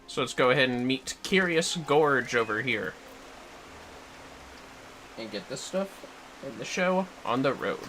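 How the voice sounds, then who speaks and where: A man's voice: medium pitch, lively intonation, measured pace, long pauses, sharp tone, soft background music. A man hosting a gaming livestream with casual commentary, rambling a little as he plans aloud. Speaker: livestream host.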